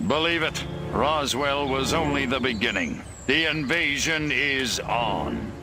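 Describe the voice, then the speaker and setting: Ominous government agent, animated. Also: male voice, medium pitch, slow pace. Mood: grave, urgent. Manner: terse, ominous. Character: grave, urgent government agent. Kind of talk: video game cutscene voice acting.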